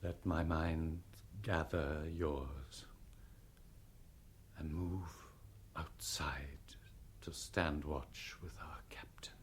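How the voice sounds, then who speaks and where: An eerie, extremely deep, hushed telepath. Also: male voice, steady tone, very slow, long pauses, a little hiss or hum, heavily acted. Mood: hypnotic, hushed. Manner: hushed, hypnotic. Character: eerie, solemn mind-reading spaceship crewman. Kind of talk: 1960s radio drama.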